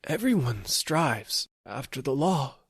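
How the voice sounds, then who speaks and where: A male narrator acts a frail old countryman near death in an audiobook tale. He sounds puzzled and pleading, his questions pitched up plaintively. The recording is dry. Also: sing-song, takes it slowly, gravelly, slightly rough, no room sound, exaggerated voice. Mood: weak, puzzled.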